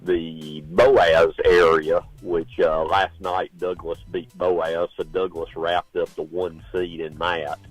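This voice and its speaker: Man, deep voice, relaxed rambling sports rundown, soft music behind. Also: slow, hardly any pauses, dry recording, rough recording. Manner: relaxed, rambling with many uhs. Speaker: sports analyst calling in. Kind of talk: casual radio sports report.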